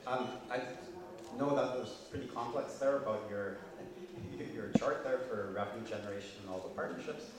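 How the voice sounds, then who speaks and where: A man's voice: mid-range voice, normal speed. Guy asking a question, kinda hesitant, far from the mic in an echoey room full of people. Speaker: interviewer. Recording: echoey hall, far off, with crowd.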